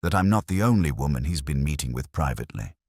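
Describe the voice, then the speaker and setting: A man's voice: extremely low pitch, expressive, quick pace, a little mellow, no room sound, studio quality. A man voicing a fearful married woman in a mystery story, confiding in a guarded, urgent way. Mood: anxious confession.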